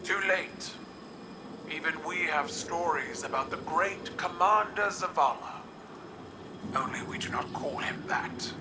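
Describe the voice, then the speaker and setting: An actor playing a proud, stoic alien warrior ruler in a video game, speaking in a formal, deliberate, commanding way. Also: male voice, a little high, noisy background, lightly acted. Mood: grave respect. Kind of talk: dramatic video game voice acting.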